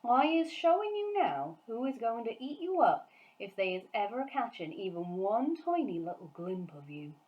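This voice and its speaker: A woman voicing a big friendly giant from a storybook, excitable and kindly, speaking emphatically in garbled grammar and nonsense words. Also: on the high side, normal speed, highs cut off, pitched above the performer's own voice. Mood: agitated, warning.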